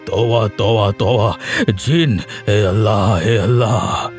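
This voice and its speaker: A man's voice: on the low side, fairly even intonation, takes it slowly, darkish tone. A man voicing an anxious, pious older village uncle in a cartoon, sighing and exclaiming dramatically. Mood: alarmed, suspicious. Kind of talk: cartoon voice acting. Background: music.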